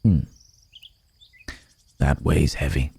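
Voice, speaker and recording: A man playing a weary, remorseful werewolf in human form, speaking haltingly and gravely in an intimate ASMR roleplay. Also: extremely low pitch, fairly even intonation, warm tone, heavily acted. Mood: somber, remorseful.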